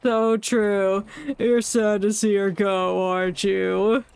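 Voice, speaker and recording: A woman's voice: medium pitch, level tone, slow pace, creaky, a little mellow, smooth, no room sound. A woman livestreaming a video game, chatting casually and irreverently and reading dialogue aloud in a joking way. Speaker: game streamer. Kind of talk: casual livestream play-along commentary. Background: game music.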